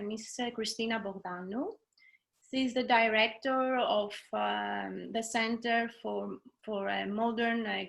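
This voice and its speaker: A woman's voice: fairly high pitch, vocal fry, fairly bright tone. A young adult woman hosting an interview over a video call, speaking hesitantly with many fillers. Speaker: host, consulate officer.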